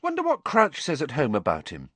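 A friendly, down-to-earth teenage boy who keeps his words short and plain, his voice nudged a little higher by a male reader. The storybook recording is close and dry. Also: wildly swooping pitch, fairly quick, fairly bright tone, dry recording, full character voice.

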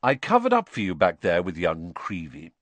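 Man doing a booming, pompous, self-admiring celebrity teacher. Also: wildly swooping pitch, moderate pace, no echo, heavily acted. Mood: paternal, smug. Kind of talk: audiobook character voice.

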